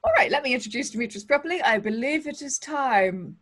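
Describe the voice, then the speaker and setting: A woman's voice: high-pitched, animated, a little fast, fairly bright tone. A woman in her thirties hosting a livestream interview over a video call, bubbly and playful with frequent jokes. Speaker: podcast host. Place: home studio, indoors.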